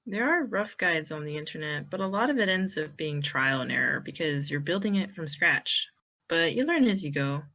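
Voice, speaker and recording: A woman playing a proud, guarded teenage boy with a terse, deadpan manner in an amateur audio drama. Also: low voice, moderate pace, dull audio, heavily acted. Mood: modestly proud.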